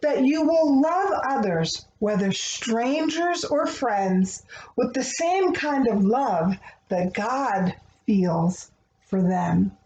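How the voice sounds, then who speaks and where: A woman's voice: a little high, animated, slow, a little mellow. A woman speaking tenderly and earnestly to young children, as the host of a picture book read-aloud. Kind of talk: expressive read-aloud of a rhyming picture book, then gentle talk to children. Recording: dull, echoey room with faint noise.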